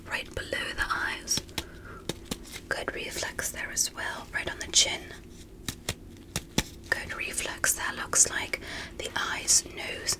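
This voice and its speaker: Casting: a woman performing a kindly, inquisitive alien physician for ASMR roleplay; whispered, breathy, careful and encouraging, with formal clinical wording. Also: high-pitched, exaggerated voice, poor audio. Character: gentle, curious alien doctor examining a human.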